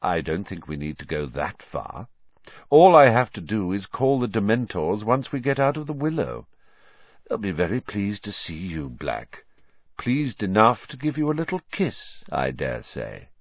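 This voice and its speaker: Guy doing nasty sneering wizard teacher for an audiobook, hissy n menacing, volatile, pitch all over, dry sound. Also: sing-song, unhurried, dry recording, lo-fi sound. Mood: silky malice. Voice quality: hissing, silky to spitting. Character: sneering, vindictive, fanatical male wizard schoolteacher.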